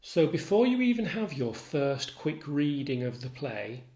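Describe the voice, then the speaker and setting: A man lecturing as a literature tutor, measured, articulate and instructive in his delivery. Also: a little high, big pitch swings, darkish tone. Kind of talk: literature lecture with recited quotations. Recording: roomy echo.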